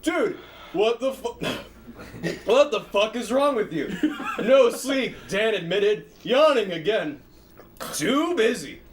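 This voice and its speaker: High-voiced man reading with laughing asides. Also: big pitch swings, slow pace, a little hiss or hum. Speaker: stream co-host reading fan fiction aloud.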